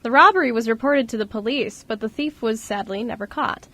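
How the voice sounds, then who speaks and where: Female narrator for an amateur radio drama, higher-pitched voice, reading a scripted story. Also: a little fast, fairly bright tone. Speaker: radio show co-host and narrator.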